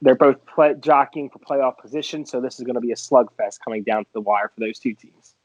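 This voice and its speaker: A man co-hosting a casual sports betting podcast, talking picks in a chatty, animated way. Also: mid-range voice, a little fast, no echo. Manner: chatty, animated, laughing banter. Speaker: podcast co-host, sports bettor. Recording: dry, dull lo-fi podcast audio.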